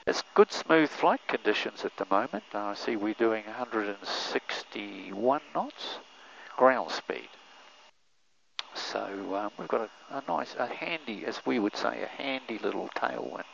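Gravelly older New pilot. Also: male voice, fairly low pitch, measured pace, a little hiss or hum. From New Zealand. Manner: relaxed, explanatory, many fillers. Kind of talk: casual cockpit commentary. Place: small aircraft cockpit in flight. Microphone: aviation headset boom mic. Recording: muffled intercom audio with engine drone.

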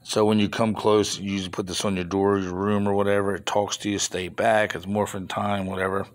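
Chatty low-voiced man filming toy finds. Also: little pitch movement, fairly quick. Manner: chatty, rambling, mild grumbling asides. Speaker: toy reseller showing flea market finds. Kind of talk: casual off-camera show-and-tell vlog. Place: indoors at a table of toys. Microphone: handheld phone camera.

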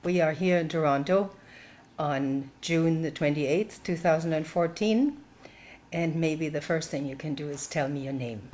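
Low-voiced woman interviewer in an echoey room. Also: measured pace, darkish tone. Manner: encouraging, with frequent "uh-huh" and "yeah" backchannels. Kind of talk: casual interview.

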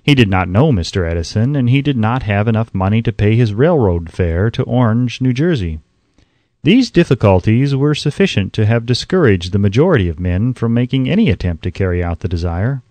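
A man reading an audiobook as scripted narration, in a measured, emphatic way, close to the microphone. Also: on the low side, animated, warm tone, no room sound.

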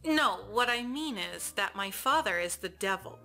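A woman's voice: medium pitch, expressive, moderate pace, vocal fry, bright, forceful tone, soft background music. A woman voicing a candid young demoness telling her story in a cartoon comic. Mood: candid, wistful.

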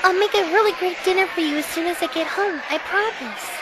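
A woman's voice: very high-pitched, fast, noisy background. A woman voicing a sweet, devoted, apologetic teenage schoolgirl in an anime fan dub. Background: loud background music.

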